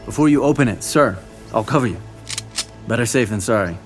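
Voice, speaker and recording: An actor playing a cautious, deferential, inquisitive junior officer in an audio drama. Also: male voice, mid-range voice, expressive, a little fast, faint music behind, lightly acted. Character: cautious, dutiful, questioning male subordinate officer.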